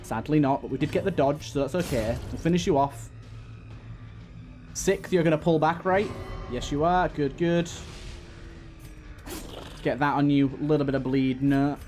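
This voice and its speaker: A man's voice: fairly high pitch, normal speed, long pauses, a little mellow, faint music behind. A man hosting a casual let's play, talking to the game's characters and repeating his phrases as he goes. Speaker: gaming host.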